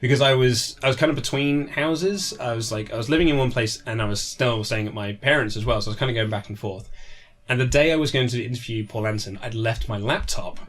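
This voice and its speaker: A man being interviewed as an author, chatting casually and telling anecdotes in an animated way, acting out what people said. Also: medium pitch, fast talker. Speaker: author being interviewed about their book.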